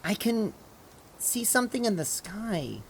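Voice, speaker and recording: A woman playing an earnest, feisty teenage girl in an amateur audio drama, halting and casual, blunt when annoyed. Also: medium pitch, very animated, very noisy. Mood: uneasy, hesitant.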